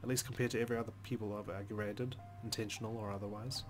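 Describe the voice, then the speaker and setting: Low-voiced man reading a story dramatically. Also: steady tone, very fast. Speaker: let's play host reading game narration. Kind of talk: expressive first-person story narration. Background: music.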